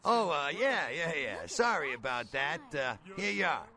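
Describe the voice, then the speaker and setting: A man's voice: on the high side, very animated, normal speed, music in the background. An actor playing a jittery, folksy bail bondsman in a video game, talking in colloquial street slang. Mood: hassled, shifty.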